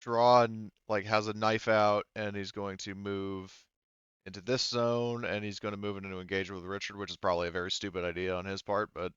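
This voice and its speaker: Halting, low-voiced man running a game. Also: little pitch movement, a bit bright, clean tone, highs cut off. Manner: halting, thinking aloud; sweary. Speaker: game master. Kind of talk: running a tabletop roleplaying game, casual narration.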